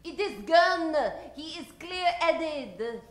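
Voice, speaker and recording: A scheming radio-melodrama villainess , acted by a woman, very high in pitch and imperious. She gives her orders slowly in an echoing room. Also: lively intonation, heavily acted. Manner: drawn-out, imperious. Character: commanding, scheming female villain. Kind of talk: old-time radio play acting. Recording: echoey stage, faint music behind.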